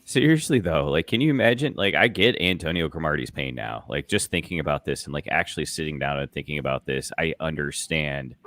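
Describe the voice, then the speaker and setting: A man's voice: a bass voice, animated, a little fast, hardly any pauses, a bit bright, no room sound. A man chatting casually as a comedy podcast co-host, rambling in an incredulous way.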